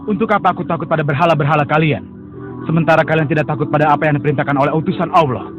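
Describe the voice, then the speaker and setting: A man's voice: fairly high pitch, steady tone, rapid-fire, lightly acted. A man voicing an earnest young male prophet who pleads with and admonishes idol worshippers in a dubbed cartoon. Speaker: voice actor. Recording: dull lo-fi audio with music.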